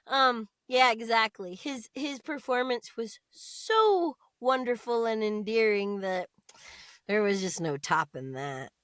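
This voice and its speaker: An American woman chatting casually as an interview guest, expressive and chatty. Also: high voice, no echo, studio quality. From United States.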